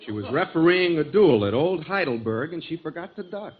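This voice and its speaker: An actor playing a dim, shameless bachelor musician sidekick in a 1940s radio sitcom, slangy and deadpan with mock dignity. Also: male voice, on the high side, fairly quick, a crowd in the background, lightly acted. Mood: mock-indignant. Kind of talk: 1940s radio sitcom acting before an audience. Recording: tinny, noisy 1940s radio recording.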